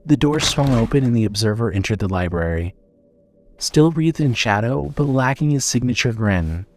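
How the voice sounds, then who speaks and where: A man narrating a scripted horror audio drama in a measured, dramatic storytelling manner. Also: medium pitch, animated, darkish tone, faint music behind.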